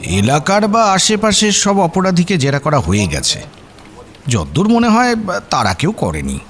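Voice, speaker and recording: Animated, genial police officer. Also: male voice, a little high, a little slow, full character voice. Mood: speculating. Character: affable local police officer briefing a detective. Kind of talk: Bengali radio drama acting.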